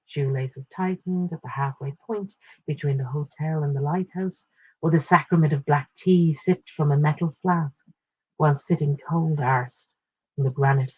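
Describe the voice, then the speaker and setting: Woman reading a story, slightly husky, dull recording. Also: low-pitched, warm tone. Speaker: short story reader. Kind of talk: literary first-person story narration, read aloud.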